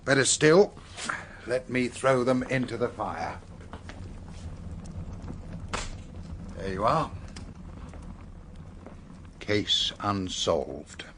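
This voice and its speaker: An actor playing a middle-aged senior British police officer in a radio drama, measured, firm and courteous. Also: male voice, mid-range voice, a bit bright, a little hiss or hum. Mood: weary, resolved. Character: authoritative but humane senior police officer.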